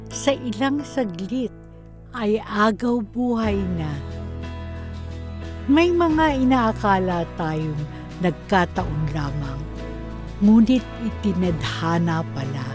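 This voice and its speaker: A woman giving solemn, reflective scripted narration to introduce a drama. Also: on the high side. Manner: reflective, deliberate.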